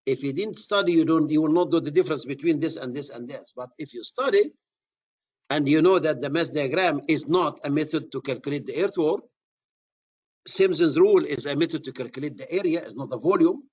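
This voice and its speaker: A man's voice: on the high side, a little fast, a little mellow, dull audio. A middle-aged man with an Egyptian accent teaching a surveying class, repeating key words and restarting phrases as he explains. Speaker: surveying instructor. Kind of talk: classroom lecture.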